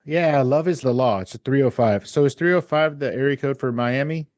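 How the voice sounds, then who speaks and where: A man hosting a podcast, gushing with enthusiasm and quick asides. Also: medium pitch, a little mellow, no room sound. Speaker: podcast host. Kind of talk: casual podcast interview.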